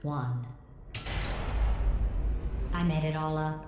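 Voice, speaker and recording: A deadpan, cruel robot AI. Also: female voice, low-pitched, very slow. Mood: mocking. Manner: deadpan, mockingly sweet cruelty. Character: cold sarcastic female AI running a test facility. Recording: echoey game audio with noise.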